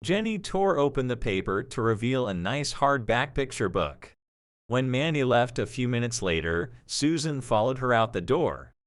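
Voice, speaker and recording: A man narrates a scripted audio drama story. His voice sits at a medium pitch and moves with lively, wide intonation, recorded close and dry. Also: normal speed, no room sound.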